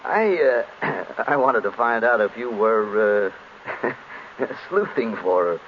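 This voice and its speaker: Fussy, animated lawyer, vintage radio. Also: male voice, fairly low pitch, slow pace. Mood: hesitant, probing. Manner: hesitant, throat-clearing, polite. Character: fussy, harried male lawyer. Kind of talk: 1930s radio acting. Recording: muffled, hissy vintage radio broadcast.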